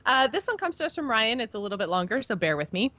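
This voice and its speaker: A woman's voice: fairly high pitch, big pitch swings, fast, bright, forceful tone, no room sound, dull audio. A woman co-hosting a gaming podcast, talking casually and with an informal fan's enthusiasm. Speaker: podcast co-host. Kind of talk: casual chat about video game lore, reading listener email.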